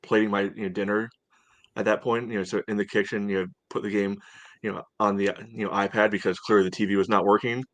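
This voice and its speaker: A man co-hosting a soccer podcast, recapping casually and haltingly, leaning on "you know" a lot. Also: lowish voice, level tone, no echo. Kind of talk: casual match recap chat.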